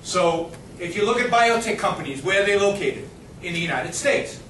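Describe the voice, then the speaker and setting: A man's voice: high-pitched, expressive, normal speed, fairly bright tone. Guest speaker, middle-aged man; insistent and restless, recorded in a noisy, reverberant room. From Israel. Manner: emphatic and argumentative, with frequent restarts. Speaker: guest speaker answering audience questions. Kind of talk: impassioned lecture Q&A. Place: university lecture hall. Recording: echoey noisy hall from far off.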